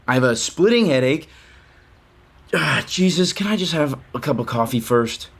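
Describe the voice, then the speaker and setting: Irritable, defensive younger brother. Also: male voice, on the high side, lively intonation, moderate pace, gravelly, breathy edge, exaggerated voice. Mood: irritated, weary. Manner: irritable, sarcastic. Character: defensive, hard-drinking younger brother and chief executive. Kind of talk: audio soap opera acting.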